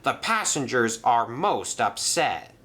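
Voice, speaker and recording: A man's voice: medium pitch, big pitch swings, takes it slowly, sharp tone, full character voice. A man voicing a stern, fussy male railway controller in a storybook reading, scolding and officious.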